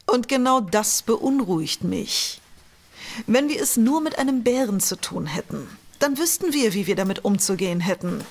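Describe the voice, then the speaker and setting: An actress playing a resolute, candid scientist at a polar station in an audio drama. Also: female voice, mid-range voice, lively intonation, moderate pace, natural voice. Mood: worried.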